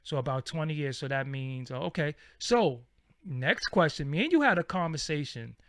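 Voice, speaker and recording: A man's voice: on the high side, lively intonation, moderate pace, a bit bright. A man hosting a live-stream interview, asking his guest questions in a casual way. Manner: casual, asks questions with many fillers and restarts. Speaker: host.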